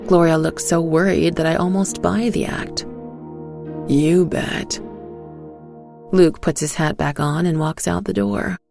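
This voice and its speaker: A woman narrating a dark first-person story in a slow, hushed, measured way. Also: low voice, steady tone, vocal fry, faint music behind. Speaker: narrator and voice actor.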